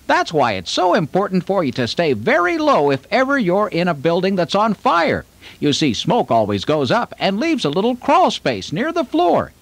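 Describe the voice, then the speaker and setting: A man's voice: high voice, dry recording, exaggerated voice. A man playing an earnest children's puppet show host who teaches fire safety directly to young viewers. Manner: instructive, addressing children directly.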